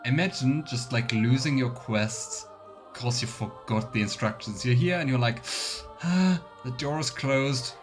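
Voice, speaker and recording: Slow-talking male game streamer, musing. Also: medium pitch, over music. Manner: musing, thinking out loud. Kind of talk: casual let's play commentary with role-play asides.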